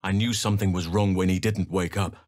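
An actor playing a gentle, solemn druid leader in a video game, speaking in a measured, formal, earnest manner. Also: male voice, deep voice, fairly even intonation, dry recording, clean studio sound, lightly acted. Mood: grave, concerned. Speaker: video game companion character. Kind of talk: video game voice acting, formal fantasy dialogue.

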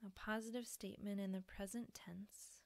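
Female meditation guide: hushed, soothing, creaky mid-range voice, leaving space between instructions. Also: mid-range voice, fairly even intonation. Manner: soft, breathy, soothing instruction with pauses. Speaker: yoga nidra teacher.

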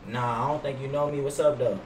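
A man's voice: mid-range voice, steady tone, quick pace. Roomy, noisy young American man, street slang. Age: young adult. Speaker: streamer playing GTA roleplay. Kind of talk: casual in-character game chat, heavy street slang. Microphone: gaming headset with boom mic. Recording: roomy echo, loud game noise. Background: game street and car engine noise.

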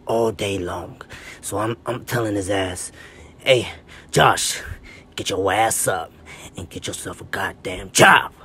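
A man voicing a cranky older grandfather who grumbles, curses and bursts into yelling, filmed on a phone. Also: on the low side, takes it slowly, husky, heavily acted. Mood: grumbling. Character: cranky grandfather fed up with his lazy grandson. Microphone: phone front camera at arm's length.

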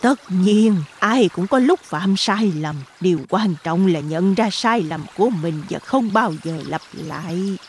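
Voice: medium pitch, lively intonation, unhurried, few pauses, slight background noise, close to an everyday voice. Performer as a lilting kindly old grandmother. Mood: reassuring. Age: older. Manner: gently pleading and reassuring. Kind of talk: children's cartoon dub.

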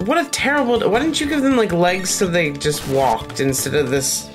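A woman's voice: on the low side, expressive, moderate pace, music in the background. A woman co-hosting a gaming show, bantering casually and sardonically as she watches the game. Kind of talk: casual banter over video game play.

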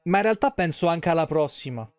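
A man with a fairly high voice and a Roman accent chats through a live game stream. He thinks aloud in colloquial, salty language. The sound is dry and close to the microphone. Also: animated, a little fast, clear, resonant tone, dry recording, faint music behind. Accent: central Italian, Roman. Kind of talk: casual live gameplay commentary. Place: indoor streaming setup at home.

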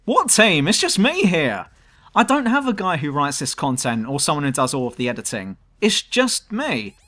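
A southern English man reads his scripted commentary in a dry, self-mocking way. His voice is on the higher side for a man, and his pitch jumps about a great deal. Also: huge pitch swings, normal speed, pushed and bright. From southern England. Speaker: YouTube gaming channel host. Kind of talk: scripted commentary over gameplay.

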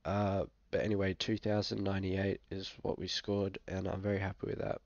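Young Aussie bloke, under the weather, flat and low, fry in the voice, dull webcam sound; relaxed, hesitant delivery. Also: male voice, a little fast, dry recording. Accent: Australian. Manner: laid-back, frequent fillers, hesitant. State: sick, occasional coughing. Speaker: fantasy football vlogger. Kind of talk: casual screen-share commentary. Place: small room indoors.

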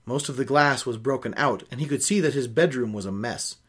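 A man's voice: medium pitch, a little fast, a bit bright, slightly rough. A young man hosting a reading show, reading a novel aloud and breaking off into opinionated, nitpicking commentary. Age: young adult. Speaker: host of a book-reading show. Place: small home office, indoors.

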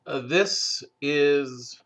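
A man hosting a game let's play, stuffed up from a cold, talking slowly with many hesitant fillers. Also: fairly high pitch, fairly bright tone. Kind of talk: casual gameplay commentary.

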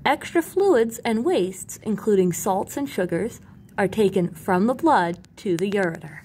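A woman reading educational material aloud as a teacher, with animated emphasis and carefully separated lists. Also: mid-range voice, a little slow, a little hiss or hum. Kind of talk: expressive educational read-aloud.

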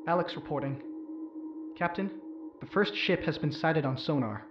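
An actor playing an anxious, loyal young rebel crew member in a sci-fi audio drama. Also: male voice, on the high side, normal speed, reverberant, dull audio, over music, lightly acted. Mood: tense, reporting.

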